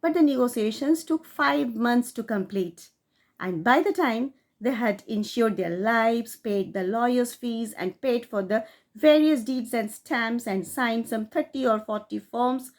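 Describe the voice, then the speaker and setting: A woman reading a classic short story aloud, in a steady storytelling manner that rises for emphasis. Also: on the high side, unhurried. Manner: steady storytelling read with rising emphasis on key phrases. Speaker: audiobook reader.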